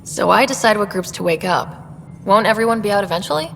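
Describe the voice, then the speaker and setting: An actress playing a calm, inquisitive young explorer heroine in a video game, speaking directly and asking questions. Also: female voice, medium pitch, quick pace, gravelly, long reverb tail. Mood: curious.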